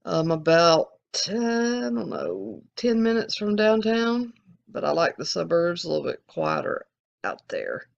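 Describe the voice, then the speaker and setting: Gravelly Southern-accented card collector. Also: mid-range voice, very animated, unhurried, lo-fi sound. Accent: Southern US, Alabama. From American South. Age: adult, collecting since the eighties. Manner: rambling, candid, frequent restarts. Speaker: sports card channel host. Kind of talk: casual Q&A to viewers.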